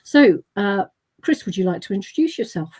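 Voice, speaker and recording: Woman, host, friendly, brisk, prompting guests, video call. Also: medium pitch, very animated, darkish tone, husky. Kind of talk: live panel hosting.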